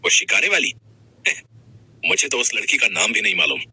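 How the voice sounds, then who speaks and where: A man's voice: on the low side, big pitch swings, normal speed, bright, forceful tone, husky, dry recording, heavily acted. A man voicing an arrogant, callous young husband and businessman, curt, scolding and dismissive in manner.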